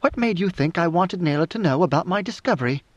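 A man voicing a cool, commanding young woman rebel leader in an audiobook, measured, imperious and exacting. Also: high voice, expressive, pitched above the performer's own voice. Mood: cool, probing. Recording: roomy, slightly muffled recording.

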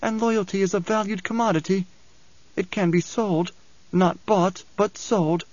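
An anguished young woman seer, played by a male narrator, pitched well up from a man's usual talk. The delivery is soft, strained and intense, swinging on an imperious edge, in a dry but slightly dull audiobook recording. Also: fairly even intonation, unhurried, no room sound. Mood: wary, bitter.

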